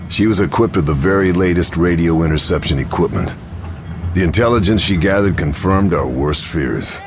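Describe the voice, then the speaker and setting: An actor playing a grim Second World War sniper agent, narrating missions in a terse, grave way. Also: male voice, deep voice, moderate pace, background noise. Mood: grave, determined. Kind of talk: scripted cinematic mission briefing narration.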